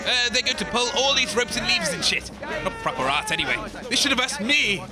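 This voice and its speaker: A man's voice: extremely high pitch, big pitch swings, fairly quick, music in the background. A man playing a grumbling, theatrical radio co-host in a comedy audio drama, pompous, petulant and sorry for himself. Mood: haughty, wistful. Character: grumbling theatrical male radio co-host, haughty and self-pitying. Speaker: radio show co-host character.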